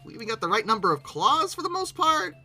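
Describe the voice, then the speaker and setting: A YouTube host giving casual, humorous reaction commentary in a halting, bemused way, often repeating words. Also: medium pitch, very animated, bright, forceful tone, faint music behind.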